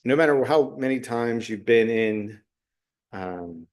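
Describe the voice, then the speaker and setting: A middle-aged man talking unscripted as a solo podcast host, reflective and halting, often pausing mid-phrase. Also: medium pitch, animated. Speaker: podcast host, special education teacher.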